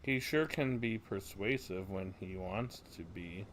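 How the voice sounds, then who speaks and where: An actor playing a calm, reserved young male companion in a video game. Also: low voice, a little slow, noisy background. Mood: reflective. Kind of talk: scripted video game dialogue acting. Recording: game music behind the voice.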